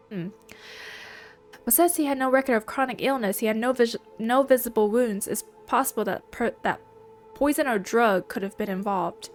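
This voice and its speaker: Stuffy-nosed woman gamer, faint music. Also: on the high side. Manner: reads lines and then comments playfully. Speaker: let's play gamer. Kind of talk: reading game dialogue aloud with reactions.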